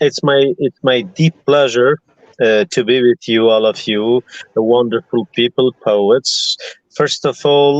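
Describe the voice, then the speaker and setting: Halting man on a phone call. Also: mid-range voice, fairly even intonation, no echo. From Albania or Kosovo. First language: Albanian. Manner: halting, restarts often, searching for words. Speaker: guest poet. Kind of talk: video call chat. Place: on the road, traveling. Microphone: phone over mobile internet. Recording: dull dry lo-fi phone audio.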